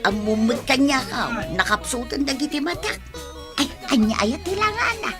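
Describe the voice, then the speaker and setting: A woman's voice: sits high, sing-song, normal speed, music in the background. A woman playing a catty, fault-finding middle-aged auntie in a radio drama, snippy and exclamatory, with an Ilocano Filipino accent. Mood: curious. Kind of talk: Philippine radio drama acting.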